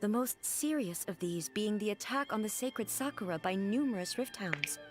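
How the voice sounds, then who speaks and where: A woman voicing a solemn, regal immortal goddess in a video game, speaking in a formal, measured and stately way. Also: mid-range voice, animated, faint music behind, lightly acted. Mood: solemn, composed.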